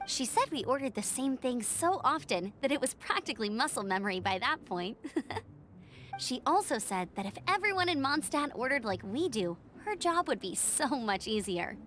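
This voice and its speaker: An actress playing an upbeat, caring young woman scout who talks eagerly and warmly to a friend. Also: sits high, big pitch swings, normal speed, a little hiss or hum. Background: game music.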